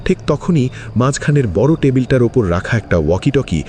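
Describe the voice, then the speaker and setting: A man's voice: mid-range voice, expressive, moderate pace, darkish tone, a little hiss or hum. A man narrating a suspense thriller in a dramatic, scripted storytelling style.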